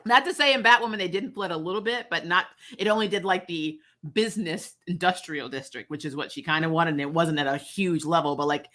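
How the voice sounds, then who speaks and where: A woman's voice: lowish voice, brisk, few pauses, pushed and bright. A woman casually chatting in a joking film review, sarcastic and blunt, often giggling as she talks. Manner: giggly, laughs often while talking; sarcastic, blunt, salty language. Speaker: movie review show host.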